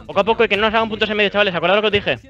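Extremely fast-talking man rallying his gaming team. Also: sits high, steady tone, fairly bright tone. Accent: Spanish (Spain). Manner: urging, encouraging teammates. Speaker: esports team player. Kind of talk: team voice chat during a game. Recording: dull band-limited team voice chat.

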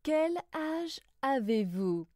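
Female language-lesson reader, extremely slow, careful enunciation, close dry sound. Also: high voice, very animated, mellow, dry recording. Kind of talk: slow scripted phrase reading.

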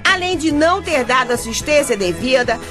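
Exasperated, high-pitched Brazilian wife. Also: female voice, big pitch swings, moderate pace. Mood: exasperated, gossipy. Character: exasperated, gossipy, outspoken wife of a bricklayer. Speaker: actress narrating a radio drama. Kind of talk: chatty in-character radio soap narration. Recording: music playing behind the voice.

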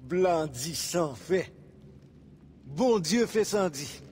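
Resolute rebel leader. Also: female voice, fairly low pitch, lively intonation, slow pace, darkish tone, in a large hall, a little hiss or hum. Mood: earnest, guarded. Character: resolute maroon rebel leader recruiting fighters. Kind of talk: video game cutscene acting.

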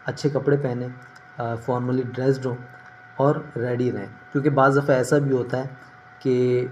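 A middle-aged man giving informal advice to camera, explaining in a measured way with pauses, recorded indoors on a phone. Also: mid-range voice, little pitch movement, highs cut off. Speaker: career advice vlogger. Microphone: phone at arm's length. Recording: noticeable ambient background noise.

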